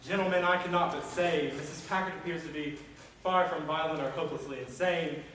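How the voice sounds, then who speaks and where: A man on stage playing a measured, courteous 19th-century gentleman, speaking formally and deliberately. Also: a little high, steady tone, natural voice. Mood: reasoned. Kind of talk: stage play acting. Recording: echoey auditorium, distant mic, faint noise.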